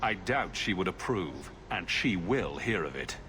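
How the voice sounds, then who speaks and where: Low-voiced wry hero, reverberant. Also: male voice, big pitch swings, a little slow, a crowd in the background. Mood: defiant. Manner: wry, direct, challenging. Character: wry, defiant male fantasy hero. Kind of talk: fantasy video game voice acting.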